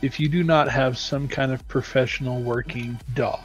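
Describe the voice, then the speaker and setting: Man, mid-pitched, emphatic and informal, advising viewers on a live stream with faint music underneath. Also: steady tone, normal speed. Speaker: game audio lead on a live stream.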